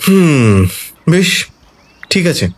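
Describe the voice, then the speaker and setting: An actor playing a shrewd, calm detective who reasons things through in a measured way. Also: male voice, fairly high pitch, a little hiss or hum, heavily acted. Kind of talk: audio drama acting. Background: faint background music.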